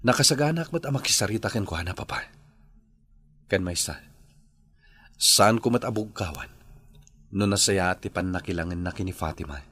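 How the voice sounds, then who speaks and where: Man playing an animated, husky, protective father. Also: low-pitched, normal speed, pauses often, full character voice. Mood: resentful, firm. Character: protective younger brother, a firm, indignant family man. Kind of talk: radio drama acting.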